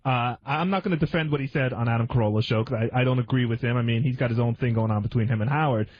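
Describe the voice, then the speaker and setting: A man's voice: mid-range voice, very fast. A man in a casual radio discussion, hedging and repeating his phrases as he explains himself. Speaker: radio show staff member. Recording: dull, muffled lo-fi radio audio.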